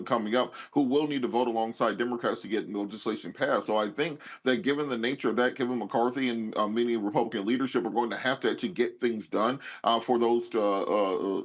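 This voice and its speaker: A man giving unscripted political commentary as a panelist, with frequent filled pauses and false starts. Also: medium pitch, muffled recording.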